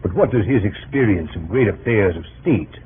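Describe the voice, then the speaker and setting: An actor playing a smooth, deferential political visitor, ingratiating and carefully hesitant, in old-time radio drama style. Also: male voice, lowish voice, expressive, normal speed, natural voice. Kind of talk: 1930s-40s radio drama acting. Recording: tinny old radio recording with hiss.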